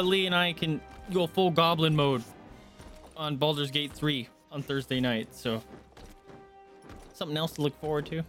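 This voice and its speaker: A man's voice: fairly high pitch, a bit bright. A man casually reacting to a video game as he streams it, irreverent, joking and slangy.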